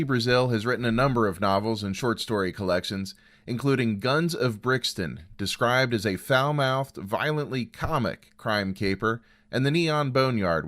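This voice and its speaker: A man's voice: mid-range voice, fairly bright tone. A man hosting a story program, reading a scripted introduction. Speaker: host introducing stories.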